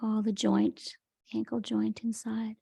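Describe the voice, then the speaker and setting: Woman meditation teacher: highish, very creaky voice, soft and breathy, gently soothing and unhurried. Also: flat delivery, dry recording, clean studio sound. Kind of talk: guided meditation, Yoga Nidra.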